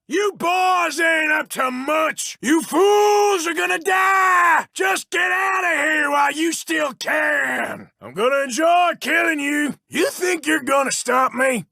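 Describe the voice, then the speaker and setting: An actor playing a sneering, violent outlaw in a video game, shouting gravelly threats and insults at lawmen. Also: male voice, very high-pitched, no echo, studio quality, exaggerated voice. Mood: scornful. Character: taunting violent outlaw man fighting lawmen. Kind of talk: video game combat barks.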